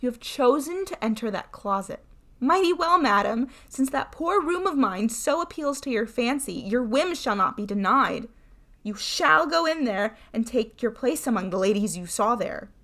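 A woman's voice: a little high, animated, moderate pace, few pauses. A woman voicing a cruel, coldly commanding husband in a storybook tale, imperious and mocking. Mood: furious, mocking.

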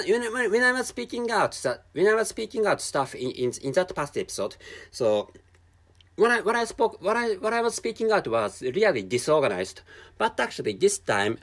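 A man's voice: fairly high pitch, fairly bright tone. A man with a Japanese accent, a native Japanese speaker, talking alone on his own podcast and repeating words as he searches for them. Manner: halting, many restarts and repeated words. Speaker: podcast host. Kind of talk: casual solo podcast monologue. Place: at home, drinking a canned drink while recording.

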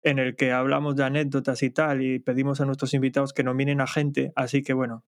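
A man's voice: mid-range voice, monotone, fast talker. A man with an Asturian accent hosting a podcast, joking and laughing through casual chat. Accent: Asturian Spanish. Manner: jokey and chatty, laughs often. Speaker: podcast host.

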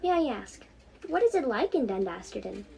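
Young woman voicing an eager, high-pitched little girl. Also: normal speed, creaky, slight background noise, rough recording. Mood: curious. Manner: bright-eyed, inquisitive, excitable. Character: curious, eager young schoolgirl niece.

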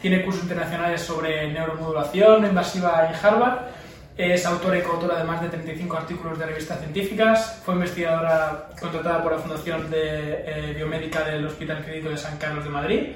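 A man's voice: on the high side, fairly even intonation, fairly quick. A man hosting a sports podcast, reading a guest introduction and asking questions. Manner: brief backchannels, reads guest introduction. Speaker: podcast host. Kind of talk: podcast interview. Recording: echoey room, distant mic.

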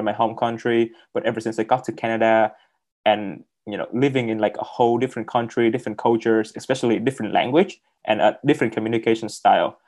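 A young man who speaks English as a second language, telling his story as a guest on a video call, halting and repeating words with many fillers. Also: on the low side, a little mellow. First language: not English. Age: young adult. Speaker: interview guest. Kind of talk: casual interview on a video call.

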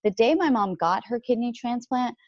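A woman as a podcast guest, talking about her faith in a lively way and jumping up in pitch when she quotes others. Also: moderate pace, no room sound, lo-fi sound. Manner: animated, jumps pitch when quoting others. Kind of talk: faith-based podcast conversation.

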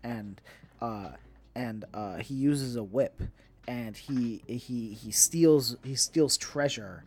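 A man's voice: medium pitch, slow, darkish tone, slightly rough. A man co-hosting a podcast in a casual, conversational style, often restarting and repeating his phrases as he goes. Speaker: podcast co-host. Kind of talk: casual chat about entertainment news.

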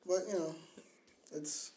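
A man in his thirties talks casually on a podcast in a slightly high, soft-edged, mellow voice. He is slangy, drops curse words and likes to tease. The recording has a clear room echo. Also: slow, a little hiss or hum. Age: 30s. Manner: slangy, swearing, teasing banter. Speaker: podcast co-host. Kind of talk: casual chat about parenting. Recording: roomy, echoing sound.

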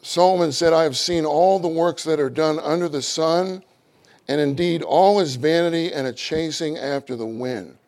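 A middle-aged man with a loud voice, preaching a sermon with animated, acted-out quotes. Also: moderate pace. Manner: animated, acts out quotes with rising pitch.

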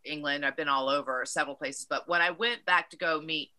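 A woman's voice: low voice, fairly even intonation, fairly quick, bright, forceful tone. A woman from Virginia with a Southern accent, chatting casually on a podcast and often restarting her sentences. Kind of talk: casual chat.

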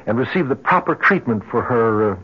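A man's voice: medium pitch, animated, a little fast, natural voice. A man playing a firm, persuasive doctor in an old-time radio drama, professional and slightly hesitant. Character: firm, measured, authoritative male doctor. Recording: muffled, faintly noisy vintage radio.

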